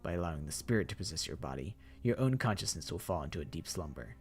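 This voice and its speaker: A man voicing a polite, formal zombie mastermind who speaks in a slow, measured and softly menacing way. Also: deep voice, big pitch swings, faint music behind, voice pushed down. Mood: calm, courteous. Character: courtly, eerily polite male zombie mastermind.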